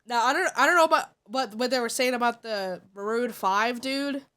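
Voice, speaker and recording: A woman's voice: a little high, moderate pace, pushed and bright. A congested woman streamer chatting casually and giving blunt, opinionated takes. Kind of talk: casual live-stream chat.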